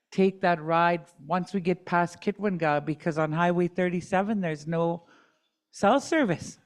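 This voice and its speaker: A woman's voice: mid-range voice, darkish tone. A middle-aged woman advocate giving candid personal testimony at a community dialogue, with joking asides.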